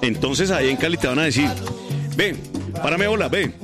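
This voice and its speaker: A man from Valle del Cauca with a Valle del Cauca Colombian accent, co-hosting a radio show in playful, animated banter. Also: a little high, brisk. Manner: animated, playful, drops into a sing-song local voice. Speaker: radio co-host. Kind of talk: joking morning radio banter. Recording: radio broadcast with music behind.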